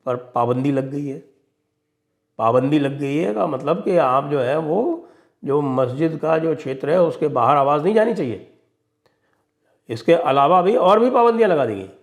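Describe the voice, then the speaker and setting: Higher-pitched man giving pointed commentary. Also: vocal fry. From India. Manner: emphatic, sarcastic, mocking. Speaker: commentator and show host. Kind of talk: opinionated political and religious commentary.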